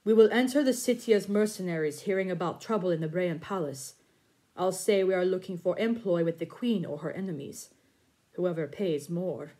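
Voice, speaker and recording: A woman voicing a determined young male leader who speaks in a measured, steady way in a storybook reading. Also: fairly low pitch, natural voice. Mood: firm.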